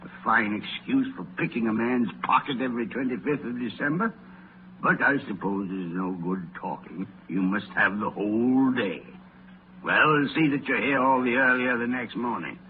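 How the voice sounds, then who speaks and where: A man's voice: mid-range voice, moderate pace, phone-quality sound, close to an everyday voice. An actor playing a miserly, irritable, cold-hearted old businessman in a 1930s radio drama, grumbling and contemptuous. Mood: grudging, peevish. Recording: noisy, band-limited 1930s radio recording.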